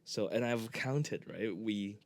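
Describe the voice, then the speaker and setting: A young man from Hong Kong co-hosts a relaxed podcast chat. His voice sits on the low side. He rambles and stalls, often restarting his sentences as he goes. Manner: rambling, halting, with frequent restarts. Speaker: podcast co-host. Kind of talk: casual chat.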